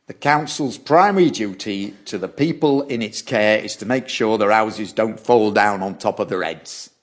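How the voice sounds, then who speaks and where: An actor playing an officious, condescending council official with a formal manner in an audio drama. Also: male voice, on the low side, moderate pace, natural voice. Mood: stern, condescending. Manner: formal, pompous, stammers when challenged. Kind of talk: stage-like audio drama acting.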